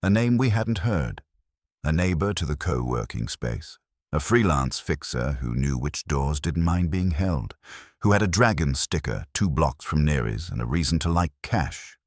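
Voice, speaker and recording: A man reading a scripted first-person detective story as an audiobook narrator, with a husky voice. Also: rumbling low, expressive, moderate pace, dry recording, clean studio sound.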